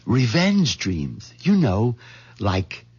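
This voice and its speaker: Meek hen-pecked husband, vintage radio. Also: male voice, mid-range voice, huge pitch swings, very slow, a little mellow, breathy edge, heavily acted. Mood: rueful, confiding. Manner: hesitant, put-upon. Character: meek, hen-pecked middle-aged husband, wistful dreamer. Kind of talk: 1970s radio drama acting with confiding narration. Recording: dull vintage radio recording.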